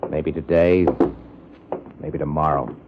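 An actor playing a terse, threatening, unhurried gunman in a 1950s radio detective drama. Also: very deep voice, level tone. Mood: cold menace. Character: menacing, unhurried male gunman. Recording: noisy, muffled 1950s radio recording.

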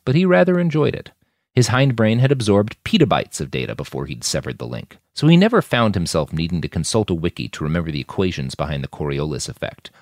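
A man's voice: low voice, no echo, studio quality. A man reading scripted fiction as a dramatic audiobook narration for a podcast.

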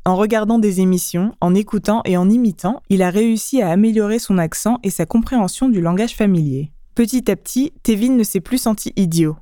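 A woman hosting a language lesson, explaining clearly in a teacherly way. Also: medium pitch, fast, hardly any pauses, mellow, dry recording, clean studio sound.

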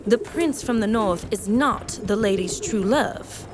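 A woman's voice: mid-range voice, a little slow, close to an everyday voice. A woman playing an exasperated, formal officer in an audio drama, articulate and wry with clipped politeness. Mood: argumentative.

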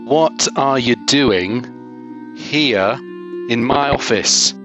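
A man's voice: medium pitch, extremely slow, over music. A man playing a weary, exasperated authority figure questioning someone in a dramatised fan fiction reading. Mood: bewildered.

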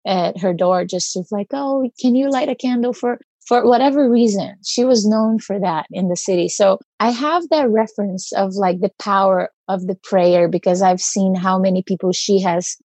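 A woman's voice: mid-range voice, normal speed, hardly any pauses, a little mellow, no echo. A woman with a Brazilian accent, speaking earnestly as an interview guest and searching for her words as she reflects.

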